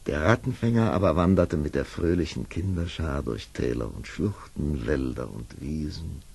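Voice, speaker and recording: A man's voice: a bass voice, lively intonation, moderate pace, vocal fry, a little mellow, husky. A man narrating a fairy tale in a dramatic storytelling style for an audio play. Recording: noisy old audio-play recording.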